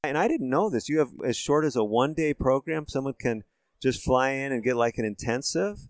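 A man's voice: medium pitch, lively intonation, brisk, no echo. A man hosting a radio interview, asking questions and summarizing the guest's answers in a friendly, conversational way. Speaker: radio show host.

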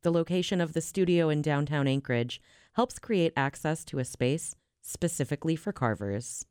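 Very low-voiced woman, smooth news narration. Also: expressive, normal speed, darkish tone, no echo, clean studio sound.

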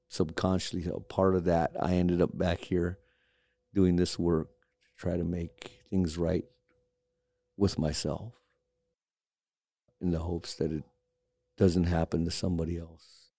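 A very low, gravelly man from Alaska talks slowly and thoughtfully, pausing often and picking his words with many fillers. His voice stays flat and mellow, recorded close and dry. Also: no echo. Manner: reflective, halting with many fillers. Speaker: carver and studio owner. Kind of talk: documentary interview.

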